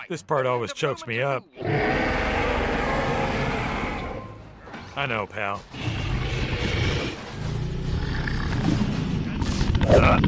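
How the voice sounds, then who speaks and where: An actor playing a jaded, wisecracking ancient monster in a video game, with a sarcastic, slangy, world-weary delivery. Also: male voice, medium pitch. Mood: sentimental. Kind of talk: animated video game voice acting.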